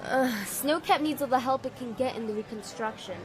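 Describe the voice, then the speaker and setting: A woman playing a proud young warrior general in an audio drama, commanding and quick to fluster, with music behind her. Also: high voice, crowd noise, close to an everyday voice, rough recording. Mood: matter-of-fact, proud. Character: proud young female general, warrior hero, hurt sister. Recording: background music under the voice.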